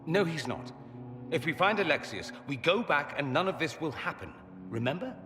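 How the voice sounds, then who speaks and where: An actor playing a quick-witted mage companion in a video game, articulate and persuasive. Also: male voice, fairly high pitch, expressive, lightly acted. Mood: insistent. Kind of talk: video game cutscene acting.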